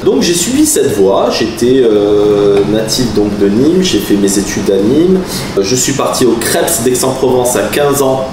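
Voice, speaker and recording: Forties guy from the south of france chatting to camera, lively up-and-down voice, echoey room, noisy. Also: medium pitch, measured pace. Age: 40s. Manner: chatty, laughing, animated asides. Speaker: vlogger, taekwondo master. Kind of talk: casual storytelling to camera. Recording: roomy, mic at a distance, background noise.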